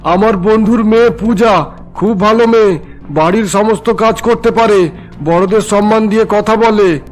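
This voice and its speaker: Man playing a high-pitched, stern domineering father. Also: moderate pace, faint music behind, lightly acted. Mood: firm. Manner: commanding, threatening, brooks no argument. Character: stern, traditional, domineering middle-aged father.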